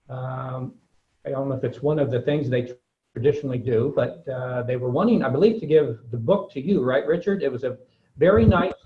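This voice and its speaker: A man's voice: mid-range voice, phone-quality sound. Folksy American man telling a story. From United States. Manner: folksy, hesitant with many fillers. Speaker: guest speaker. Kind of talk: casual anecdote to a class.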